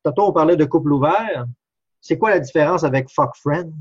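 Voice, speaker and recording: A man's voice: fairly high pitch, a little fast, breathy edge, no room sound, lo-fi sound. A man with a Québécois French accent, a psychologist hosting an interview, asking casual questions with many fillers. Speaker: psychologist host.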